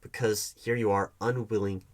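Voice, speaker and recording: A man playing a defensive, evasive hiring manager arguing with his ex in a one-sided audio roleplay, halting and repeating his words. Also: fairly low pitch, fairly even intonation, pitched below the performer's own voice, natural voice. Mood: resigned, firm. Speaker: roleplay performer.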